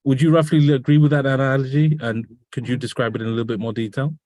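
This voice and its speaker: A man's voice: medium pitch, fairly even intonation, fast talker, darkish tone, no echo. A man hosting a business webinar over a video call, asking guests questions with curious follow-ups. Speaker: webinar host. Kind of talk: conversational webinar interview.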